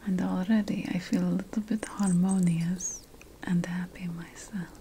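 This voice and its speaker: A woman whispering in a breathy, gentle, conspiratorial way, playing a secretive ancient witch in an ASMR fantasy roleplay. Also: on the low side, steady tone, moderate pace, slight background noise. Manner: gentle, conspiratorial, addressing the listener as an assistant. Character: secretive ancient witch priestess researching magical potion plants.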